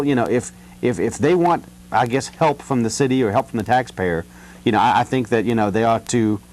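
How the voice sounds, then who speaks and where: An American man in a casual phone conversation, talking in a halting, rambling way with frequent "you know". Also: fairly low pitch, darkish tone. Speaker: city council candidate on a phone call. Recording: telephone call.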